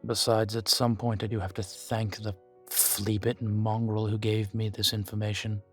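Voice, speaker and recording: Guy doing a weary proud vampire informant for a roleplay audio, kinda low, formal and careful, guarded but fond, bit of music under it. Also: flat delivery, lightly acted. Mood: reluctant, conceding, then disdainful, proud. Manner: formal, measured, deliberate phrasing. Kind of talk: scripted audio roleplay to the listener. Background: background music.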